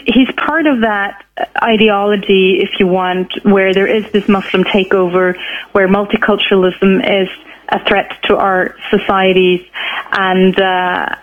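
Female analyst, measured explanatory delivery, thin telephone sound. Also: medium pitch. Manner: measured, explanatory, searching for words. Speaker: expert guest on right-wing extremism. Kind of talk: explanatory live news interview. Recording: narrow, tinny phone line.

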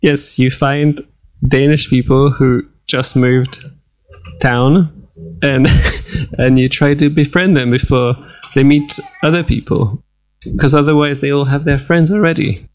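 A man's voice: medium pitch, fairly even intonation, a little slow, no room sound, rough recording. A man from France with a French accent, a guest talking bluntly with dry humor. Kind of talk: casual chat.

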